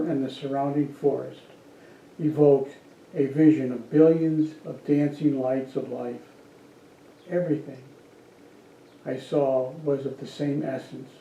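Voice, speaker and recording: A man reads formally at a church service, slow and deliberate, with a very soft-edged dark tone. His voice is a little high for a man. The recording is distant, dull and echoey. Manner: measured, deliberate phrasing. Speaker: worship service reader.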